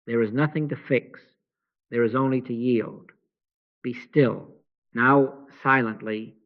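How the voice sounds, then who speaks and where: Hushed man guiding a slow meditation. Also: mid-range voice, phone-quality sound. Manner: slow, hushed, gently instructive. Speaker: meditation guide.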